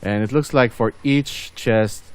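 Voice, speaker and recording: A man's voice: medium pitch, measured pace, smooth. A man doing casual gameplay commentary as host of a gaming video, rambling and thinking aloud as he goes.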